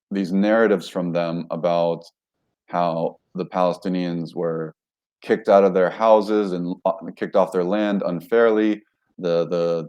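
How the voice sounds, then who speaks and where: Deep-voiced man telling his story. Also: warm tone. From United States. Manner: rambling, self-correcting, frequent fillers. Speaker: guest. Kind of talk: casual interview talk.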